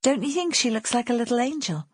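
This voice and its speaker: Woman voicing a soft, high-voiced gentle girl. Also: lively intonation, fast, husky, no room sound, studio quality. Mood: fond, coaxing. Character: gentle, thin young workhouse girl, soft and affectionate.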